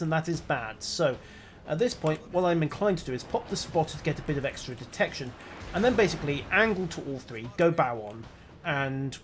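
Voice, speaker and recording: Brief: male streamer, mid-pitched, wry muttering play-by-play, faint hiss behind. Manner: dry, wry, muttering self-commentary. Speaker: game streamer. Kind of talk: live gameplay commentary.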